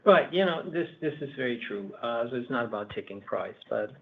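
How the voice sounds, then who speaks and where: A man with a middle-pitched voice adds his points in steady, measured sentences. He is a company executive speaking on an earnings call. His voice comes through narrow and tinny, like a phone line. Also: creaky.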